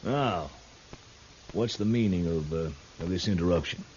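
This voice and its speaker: An actor playing a pompous, curt town judge in a 1950s radio western, formal and stern. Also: male voice, low voice, moderate pace, darkish tone, close to an everyday voice. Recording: dull, noisy 1950s radio recording.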